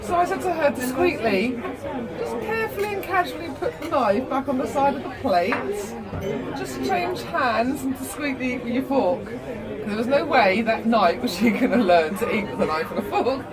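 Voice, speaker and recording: Middle-aged mum amid loud chatter. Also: female voice, high voice, lively intonation, normal speed. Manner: sweary, acts out dialogue. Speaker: mother telling family stories. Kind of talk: casual animated storytelling. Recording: busy public room chatter.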